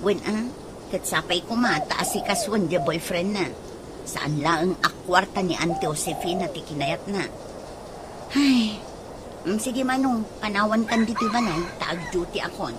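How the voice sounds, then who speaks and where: A woman's voice: medium pitch, measured pace, noisy background, lightly acted. A woman playing a polite, concerned young nurse in a radio drama. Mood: chatty, sighing.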